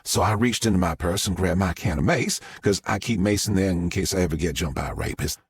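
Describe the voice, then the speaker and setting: A man voicing an excitable middle-aged Southern mother who rambles through her story in a drawl. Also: low-pitched, fairly quick, breathy edge, no echo, studio quality. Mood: excited. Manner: rambling, breathless storytelling with restarts.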